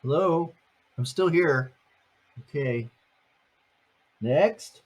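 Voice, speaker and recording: Older man, grandfather, reading a picture book aloud, husky, slow, playful asides. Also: a little high. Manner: chatty asides between pages, gentle and playful.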